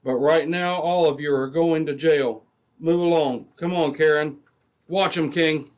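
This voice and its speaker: A man's voice: a little high, fairly even intonation, a little slow, close to an everyday voice. A man playing a firm, authoritative Mountie sergeant in an old-time radio adventure, giving clipped orders. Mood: commanding. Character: firm, commanding male Mountie sergeant, steady lawman.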